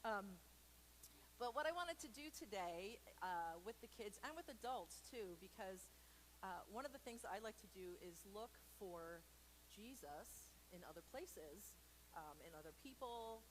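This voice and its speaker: Playful chatty lady doing storytime for kids, rambles a bit, normal pitch, bit of background noise. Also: lively intonation, measured pace, poor audio. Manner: playful, extroverted, self-deprecating, rambling. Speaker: children's story reader for a church. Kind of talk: informal read-aloud storytime with chatty asides to children.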